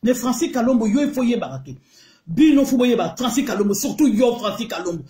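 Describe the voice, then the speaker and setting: Angry congolese lady venting on her phone, kinda high voice, echoey little room. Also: animated, fast, warm tone. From Congo (DRC). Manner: indignant, emphatic, mixes French and Lingala. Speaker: online commentator. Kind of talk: heated video rant. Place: small room indoors. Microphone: phone held close to the face.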